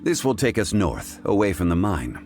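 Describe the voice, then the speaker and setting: A man playing a composed, thoughtful and formal scholarly gentleman in a video game. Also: sits low, very animated, music in the background. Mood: pensive. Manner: measured, formal, pensive.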